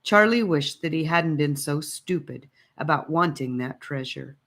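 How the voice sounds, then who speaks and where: A woman narrating a scripted story in a measured, expressive storytelling manner. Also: deep voice. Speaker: audiobook reader.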